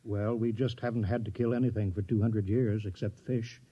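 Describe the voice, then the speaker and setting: A man's voice: lowish voice, fairly even intonation, normal speed, warm tone, close to an everyday voice. A man playing a mild, apologetic, flustered village mayor in a 1950s radio drama, hesitant and stammering. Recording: dull old radio recording.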